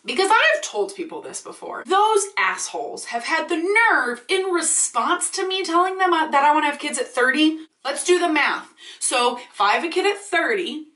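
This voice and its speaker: A woman in her late twenties, vlogging in a chatty, animated, ranty way, swearing freely and a bit congested from allergies. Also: sits high, normal speed. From Michigan. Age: 20s. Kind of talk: casual ranting vlog. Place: small indoor room. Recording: roomy echo, slightly distant.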